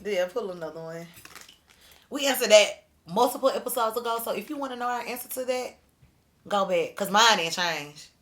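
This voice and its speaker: A woman's voice: mid-range voice, animated, moderate pace, fairly bright tone. A woman in her thirties co-hosting a podcast, talking casually and reflectively in loose asides. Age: 30s. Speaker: podcast co-host. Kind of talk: casual chat.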